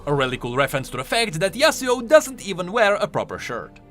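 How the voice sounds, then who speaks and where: Bright, very animated male game lore commentator. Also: high voice, fairly quick. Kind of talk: explanatory commentary voice-over. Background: faint music.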